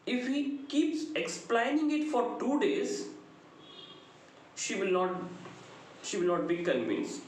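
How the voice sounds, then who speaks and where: A middle-aged man with an Indian accent giving a literature lecture, deliberate and emphatic, phrase by phrase. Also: very high-pitched, very animated. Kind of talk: lecture summarizing a play. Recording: very echoey room, faint background noise.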